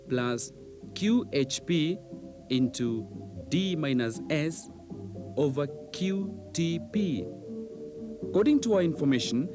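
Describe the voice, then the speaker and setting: A man reading scripted instructional narration in a measured, precise, didactic manner, on an old VHS training film soundtrack. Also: on the high side, darkish tone.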